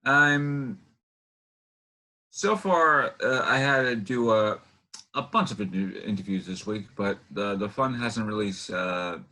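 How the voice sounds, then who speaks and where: A man hosting a casual interview, talking in a halting way and often rephrasing himself. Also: lowish voice, bright, forceful tone. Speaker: host interviewer.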